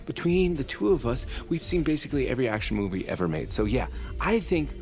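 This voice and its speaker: An actor playing a cocky, dim young schemer in a comedic audio drama, breezy and slangy. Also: male voice, medium pitch, big pitch swings, fast talker, a little mellow, faint music behind. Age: young adult. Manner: breezy, self-assured, slangy.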